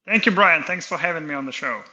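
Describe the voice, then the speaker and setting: A man's voice: fairly high pitch, lively intonation, fast, bright, forceful tone, muffled recording. A man from Kazakhstan in his thirties, an inventor guest talking casually in an interview, hesitant with many fillers and restarts.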